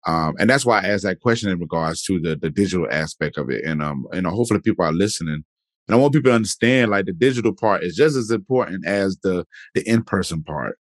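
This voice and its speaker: A low-pitched man hosts a podcast chat in a loose, easygoing way, circling back and restarting his sentences often. The sound is dry and close, with no room around it. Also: fast talker, dry recording. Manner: relaxed, rambling, many fillers and restarts. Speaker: podcast host. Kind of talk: casual interview chat.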